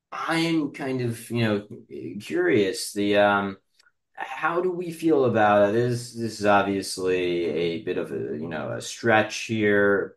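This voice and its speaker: A man hosting a casual podcast interview, feeling his way through questions in halting, roundabout phrases, with roomy sound. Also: on the low side. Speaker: podcast host.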